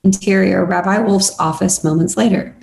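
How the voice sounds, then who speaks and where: A woman's voice: medium pitch, fairly even intonation, normal speed, creaky, a little mellow. A woman reading screenplay stage directions as scripted narration at a table read.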